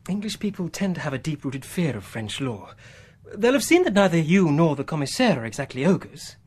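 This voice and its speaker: An actor playing a diplomatic English gentleman in a radio drama, polite, measured and formal. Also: a little high, very animated, breathy edge. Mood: urbane, obliging. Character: urbane, diplomatic English gentleman, smoothly reassuring. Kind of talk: naturalistic radio drama acting.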